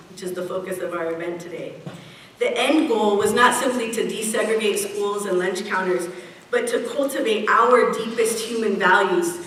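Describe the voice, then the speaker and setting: A woman's voice: mid-range voice, a bit bright. Earnest woman speaking in an echoey hall. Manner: measured and earnest, slowing to stress key phrases. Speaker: event speaker. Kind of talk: prepared speech at a community event. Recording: echoey hall, picked up from a distance, faint hiss.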